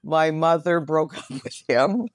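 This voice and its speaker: Low-voiced woman telling personal stories. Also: measured pace, dry recording. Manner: expressive, emphatic repetition. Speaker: interview guest. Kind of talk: personal storytelling in conversation.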